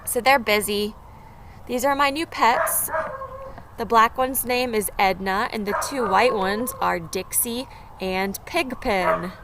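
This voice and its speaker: A woman's voice: on the high side, takes it slowly. A woman teacher doing a storybook read-aloud and chatting with small children in simple phrases and questions. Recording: clear background noise.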